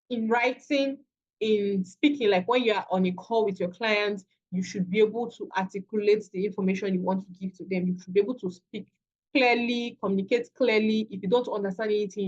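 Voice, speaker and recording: A woman's voice: mid-range voice, darkish tone, dull audio. A woman with a Nigerian accent, teaching a masterclass in a conversational way and restarting her phrases often. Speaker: virtual assistant trainer. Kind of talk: masterclass lecture.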